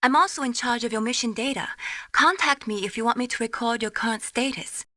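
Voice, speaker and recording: Bright-voiced young tech specialist. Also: female voice, fairly high pitch, a little fast. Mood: instructive. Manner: polite, earnest, explanatory. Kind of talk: video game voice acting.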